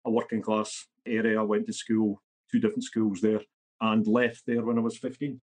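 Scottish guy in his 50s, husky voice, blunt no-nonsense podcast guest. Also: fairly low pitch, level tone, moderate pace. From Scotland. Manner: blunt and matter-of-fact. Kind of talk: podcast interview.

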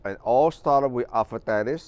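A man's voice: medium pitch, moderate pace, darkish tone. A middle-aged man from Taiwan with a Taiwanese accent, talking casually in a greenhouse live stream, rambling with many fillers and restarts. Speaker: orchid grower and show host. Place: large greenhouse.

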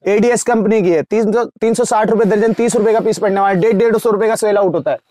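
A young man in a crowded cosmetics shop, rattling off wholesale prices per dozen and per piece in a rapid sales patter. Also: high voice, steady tone, dark tone, no echo. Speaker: wholesale shopkeeper. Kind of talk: sales pitch to camera.

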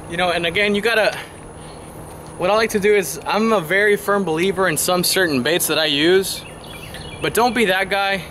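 A young man talking casually to camera while fishing by a river, explaining his baits with plenty of fillers, on a lapel mic. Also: high-pitched. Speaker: fishing vlogger. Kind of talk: casual outdoor fishing vlog. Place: outdoors on a riverbank. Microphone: clip-on lapel mic. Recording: outdoor noise behind.

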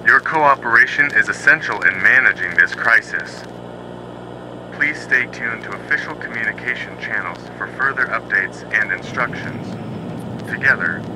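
A man playing a formal, measured official reading a scripted public emergency announcement. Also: mid-range voice, natural voice. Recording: noisy, aircraft-like drone behind voice.

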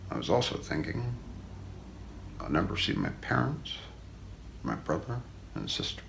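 A middle-aged man narrates true crime for ASMR in a very low voice full of heavy creak. He speaks slowly and deliberately, hushed and often close to a whisper, recorded close up in a small room. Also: huge pitch swings, rough recording. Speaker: ASMR role-play narrator. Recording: faint background noise.

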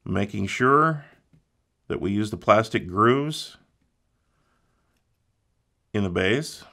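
Low-voiced man giving methodical repair steps. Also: animated, slow, gravelly, sharp tone. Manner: methodical, plain instructional delivery. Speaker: repair instructor. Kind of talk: step-by-step repair tutorial.

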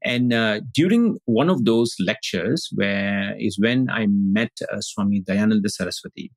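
A middle-aged man from Singapore, a teacher speaking as a podcast guest over a video call, thoughtful and halting with many restarts. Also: lowish voice, dry recording. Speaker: guest, Advaita Vedanta teacher. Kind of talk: casual interview talk. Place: indoor room on video call.

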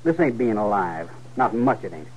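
An American actor playing a sullen, defiant young cowhand with a curt rustic drawl in a radio Western. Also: male voice, lowish voice, big pitch swings, normal speed, a little mellow. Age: young adult. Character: sullen, defiant young cowhand chafing under his father. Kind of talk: 1950s radio Western acting. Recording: muffled, faintly noisy old radio recording.

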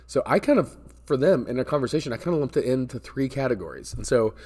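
A man's voice: mid-range voice, animated, fast talker, darkish tone. An American man in his forties talking to camera in a conversational, explanatory way, repeating words for emphasis as he gives business advice. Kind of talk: casual advice talk to camera. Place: indoor office space with metal ceiling and glass garage door.

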